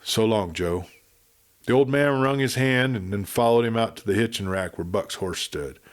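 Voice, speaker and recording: A man's voice: medium pitch, normal speed. A man reading a western adventure story as a scripted, dramatic narration. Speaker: audiobook narrator.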